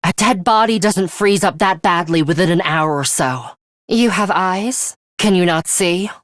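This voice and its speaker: A woman's voice: on the low side, no room sound, studio quality. An actress playing a stern, composed young woman fighter in an English video game, blunt and guarded, with clipped, formal, matter-of-fact delivery. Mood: commanding, terse.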